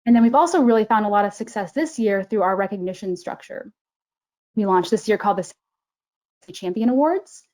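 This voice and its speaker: A woman's voice: medium pitch, expressive, a little fast, darkish tone. Woman explaining plainly, step by step. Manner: clear and organized, explaining step by step. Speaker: management analyst at a regional government council. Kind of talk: conversational interview answers.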